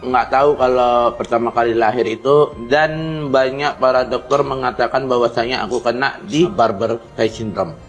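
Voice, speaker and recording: A man's voice: medium pitch, fairly even intonation, normal speed, faint music behind. A man in his thirties from Indonesia, answering questions casually with many hesitations. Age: 30s. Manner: hesitant, with fillers. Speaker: interviewee, groom. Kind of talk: casual spoken replies.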